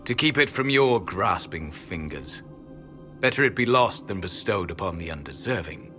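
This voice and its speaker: An actor playing a stern, ancient elven temple guardian in a video game, solemn, formal and full of disdain. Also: male voice, sits low, animated, normal speed, bright, forceful tone, soft background music. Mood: bitter disdain. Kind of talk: dramatic video game cutscene acting.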